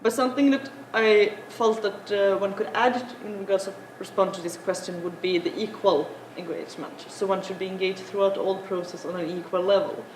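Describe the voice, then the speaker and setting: A young woman, an English speaker, giving a halting conference talk with many filler sounds. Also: medium pitch, fairly even intonation. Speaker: panel speaker. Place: large conference room. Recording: echoey conference room, noisy.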